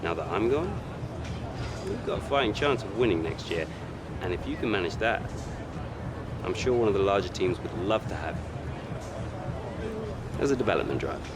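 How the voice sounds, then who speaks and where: A man's voice: on the low side, sing-song, moderate pace, long pauses, noisy background. An actor playing an arrogant young male racing driver in a video game cutscene, sarcastic and condescending. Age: young adult.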